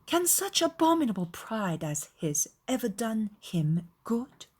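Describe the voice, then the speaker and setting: Woman acting a husky, indignant young gentlewoman. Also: fairly high pitch, very animated, unhurried, voice pushed up, heavily acted. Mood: outraged. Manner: emphatic, exclamatory. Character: young gentlewoman, spirited, indignant, easily shocked. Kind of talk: dramatized audiobook dialogue.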